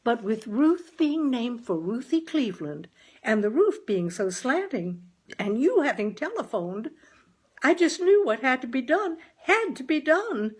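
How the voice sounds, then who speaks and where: A woman voicing a chatty, practical and earnest New England mother with folksy turns of phrase and a husky tone, in a story reading. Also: sits high, very animated, unhurried, pitched above the performer's own voice, exaggerated voice. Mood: chatty self-justifying. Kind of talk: audiobook character dialogue.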